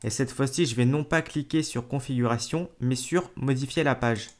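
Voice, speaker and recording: A man hosting a screen-recorded software tutorial, talking casually to the viewer with fillers and self-corrections. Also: medium pitch, normal speed. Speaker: tutorial host.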